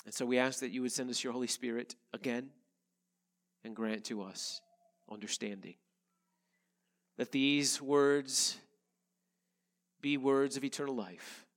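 A male pastor, a little nasal from a head cold, reading scripture and preaching in an earnest, measured way. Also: medium pitch, long reverb tail. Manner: earnest, measured preaching with slow emphatic phrases. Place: church sanctuary.

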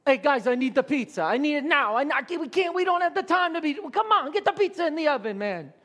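A man puts on a frantic, pushy pizzeria boss from the Bronx with a thick put-on New York accent, pitched high and working the crowd. The voice rings out in a big church hall with a long echo. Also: very animated, fast, pushed and bright, heavily acted. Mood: harried. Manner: harried, rambling, pushy with the crowd. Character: frantic male Italian-born Bronx pizzeria owner. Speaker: skit performer. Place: church sanctuary.

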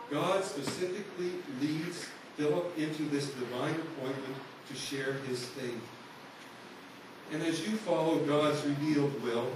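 A man preaching a sermon, telling a story in an earnest, conversational way to his congregation. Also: a little high. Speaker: pastor. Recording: echoey hall, voice from far off, noisy.

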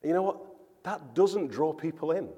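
A man's voice: fairly high pitch, lively intonation, moderate pace, dark tone, breathy edge. A man preaching a sermon as a pastor, earnest and emphatic, often repeating words for stress. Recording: church hall with long reverb.

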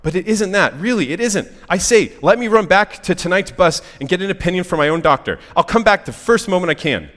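A man's voice: sits high, animated, brisk, few pauses, a bit bright, in a large hall. A man playing a cowardly, flustered ghost who whines and makes polite, nervous excuses. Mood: nervous, evasive. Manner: flustered, apologetic, whimpering, polite English phrasing. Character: anxious, cowardly, whining male ghost, full of excuses.

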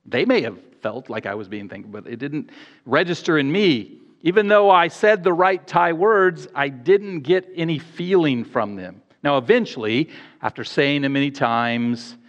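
A man's voice: on the high side, expressive, highs cut off. Earnest male preacher in a reverberant hall. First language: English. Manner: earnest, measured preaching with pauses and self-corrections. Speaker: pastor. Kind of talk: sermon.

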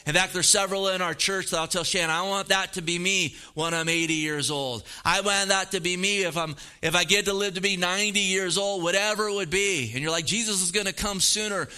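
A man's voice: sits high, fairly even intonation, fairly quick, few pauses, bright, forceful tone, smooth. A pastor in his fifties preaching a sermon, earnest and emphatic, repeating phrases for weight. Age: 50s.

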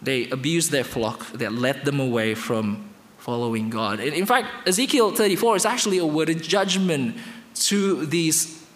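High-voiced man preaching in a reverberant hall. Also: moderate pace. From Indonesia. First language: Indonesian. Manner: expressive, swings between emphatic highs and quiet lows. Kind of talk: sermon.

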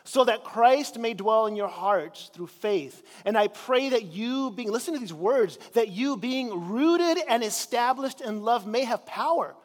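A man from Chile preaches in a relaxed, conversational way, slowing down and drawing out important words. His voice sits high for a man. The sound carries a long reverberant tail from a church hall. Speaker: pastor. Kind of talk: sermon. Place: church sanctuary.

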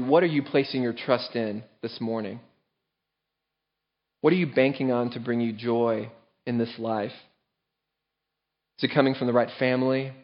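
Earnest preacher man, slightly muffled recording. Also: mid-range voice. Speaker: pastor. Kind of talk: sermon.

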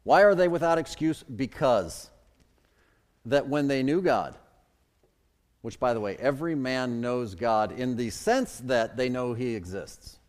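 A man's voice: mid-range voice, lively intonation, measured pace. A middle-aged man from the Chicago suburbs preaching a sermon as pastor, with emphatic swells and drops in his delivery. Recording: long hall reverb.

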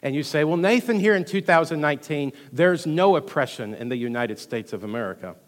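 A man with a Texan accent preaching a sermon as pastor, rushing through some lines and dropping to hushed asides between louder, emphatic ones. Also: a little high. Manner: animated preaching, swings from loud emphasis to hushed asides, quick delivery. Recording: long hall reverb.

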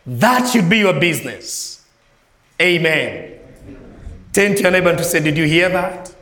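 A man from Malawi with a Malawian accent preaching a sermon as a guest preacher, swinging between soft low phrases and raised ringing lines. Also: expressive, unhurried, reverberant. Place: church.

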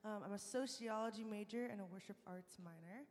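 Soft-spoken young woman in a church. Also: mid-range voice, brisk. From California. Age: 20s. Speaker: student worship singer. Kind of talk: brief self-introduction. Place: church sanctuary. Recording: long reverb of a large church hall.

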